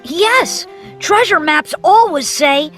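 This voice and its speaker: A woman voicing an eager, confident young boy in an animated storybook, bossy and full of plans. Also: very high voice, slow pace, soft background music, heavily acted.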